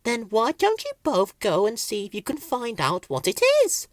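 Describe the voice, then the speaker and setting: A man's voice: sits high, wildly swooping pitch, moderate pace, dry recording, studio quality, voice pushed up. A man voicing a prim, kindly, sensible female garden weed in a children's story. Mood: concerned.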